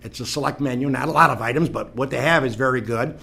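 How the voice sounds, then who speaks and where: A man's voice: mid-range voice, fast, gravelly, bright, forceful tone. A middle-aged man hosting a local talk show, chatty and rambling, with many fillers.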